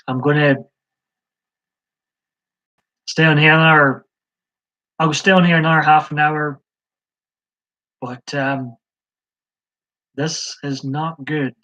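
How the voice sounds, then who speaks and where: A man's voice: a little high, fairly even intonation, unhurried, long pauses, sharp tone. Rambling Scottish man on a lo-fi stream. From Scotland. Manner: rambling, hesitant, frequent ums. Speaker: live-stream host. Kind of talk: rambling live-stream chat. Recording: slightly dull lo-fi stream audio.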